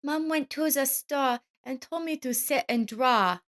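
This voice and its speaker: A woman's voice: sits high, measured pace, a bit bright, pitched above the performer's own voice. A woman voicing a small, earnest and affectionate boy who speaks simply and sweetly in a narrated story. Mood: innocent, chatty. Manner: simple childlike speech, solemn and sweet.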